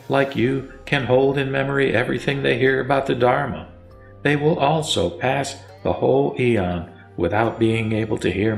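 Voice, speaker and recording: A man voicing the Buddha as a serene teacher, preaching in a solemn, measured way in a scripted sutra audio drama. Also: lowish voice. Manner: solemn, measured sermon delivery. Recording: echoey voice over music.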